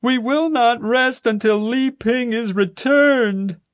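A man voicing a fervent male protest leader who proclaims his demands slowly and loudly. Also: very high-pitched, no echo, pitched above the performer's own voice.

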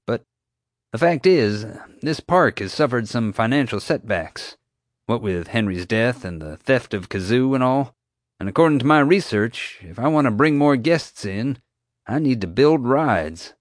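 A man's voice: medium pitch, big pitch swings, moderate pace, dry recording, studio quality, voice pushed up, lightly acted. A man voicing a blustering middle-aged male park owner who talks in a folksy, pushy, defensive way.

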